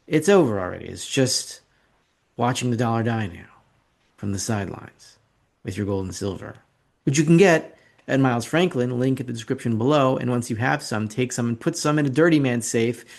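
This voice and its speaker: A man's voice: medium pitch, lively intonation, moderate pace, vocal fry, a little mellow. A man hosting an investing video report, talking through charts in a casual, opinionated, rambling way with sarcastic asides. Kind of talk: casual market commentary with slides.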